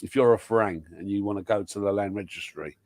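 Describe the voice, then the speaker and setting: A man's voice: fairly low pitch, quick pace, a little mellow, no echo, studio quality. A man hosting a live panel chat, talking casually with lots of restarts and repeated yeahs. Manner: rambling, many restarts and yeahs. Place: home in Thailand.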